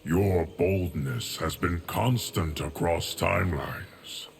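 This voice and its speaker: An actor playing a stern, ancient male warrior in a video game, speaking in a measured, solemn way. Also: low-pitched, creaky, full character voice.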